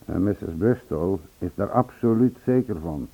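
An actor playing a deferential junior policeman, reporting deliberately and respectfully in a radio play. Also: low-pitched, lively intonation, husky, heavily acted. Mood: earnest, respectful. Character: deferential subordinate policeman reporting to his inspector. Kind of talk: Dutch radio play dialogue. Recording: tinny band-limited old radio recording.

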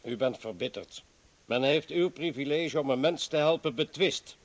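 An actor in a radio play playing an earnest party comrade who pleads insistently and tries to placate. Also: male voice, medium pitch, normal speed, lightly acted. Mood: persuasive. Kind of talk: dramatic radio play acting.

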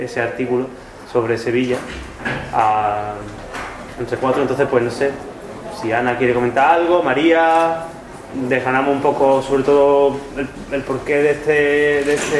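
Man, mid pitch, halting presenter, echoey room with clear background noise. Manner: hesitant, repeats words, tag questions. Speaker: book co-author presenting the event. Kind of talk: informal book presentation.

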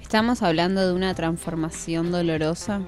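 A woman's voice: on the low side, normal speed, darkish tone. A woman with an Argentine accent hosting a radio show in a playful, bantering style. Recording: prominent music under the voice. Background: clear background music.

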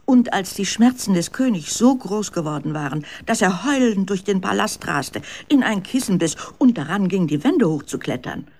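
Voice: mid-range voice, lively intonation, normal speed, few pauses, darkish tone. A narrator telling a scripted story for children in a radio drama.

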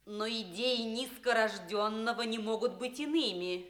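An actress playing a proud, tormented, scheming young noblewoman held as a slave, declaimed in theatrical radio play style with dramatic pauses. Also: a little high. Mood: haughty, feigned devotion. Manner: declamatory, dramatic pauses, swelling from hushed to fierce. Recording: roomy, echoing radio theatre recording.

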